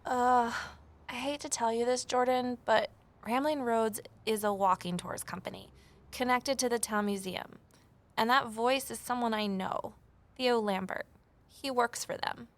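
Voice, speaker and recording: A woman's voice: on the high side, steady tone, measured pace, lightly acted. An actress playing a determined, inquisitive investigator who tells her story in an earnest, confiding way. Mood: candid. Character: Evelyn, determined, inquisitive young woman investigator and narrator. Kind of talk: first-person scripted drama narration and dialogue.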